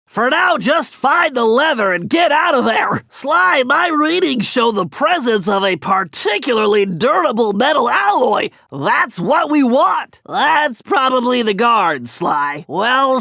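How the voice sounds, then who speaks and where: A man's voice: very high voice, gravelly, bright, forceful tone, no echo, full character voice. A man voicing an earnest, nerdy turtle tech genius in a video game, giving precise, urgent mission instructions. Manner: precise, technical, urgent briefing delivery.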